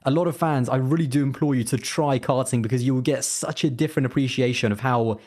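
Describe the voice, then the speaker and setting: A man's voice: medium pitch, fast talker, darkish tone. A man chatting as an interview guest, rambling with lots of fillers and restarts. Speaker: guest, racing content creator. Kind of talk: casual interview chat.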